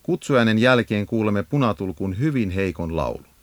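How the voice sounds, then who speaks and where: A man reading scripted educational narration for a nature guide in a measured, instructive manner. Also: on the low side, animated, no echo. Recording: 1980s audio cassette narration.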